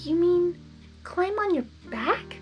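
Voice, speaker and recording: A woman's voice: very high voice, animated, slow, highs cut off, soft background music, pitched above the performer's own voice, full character voice. A woman voicing a shy, sweet young female pegasus pony with a hesitant, stammering delivery.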